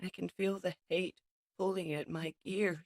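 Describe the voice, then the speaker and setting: A woman's voice: lowish voice, steady tone, a little slow, no echo, clean studio sound. A woman playing a loyal, remorseful robot warrior in a comic dub, speaking in an earnest, subdued way. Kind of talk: comic dub character acting.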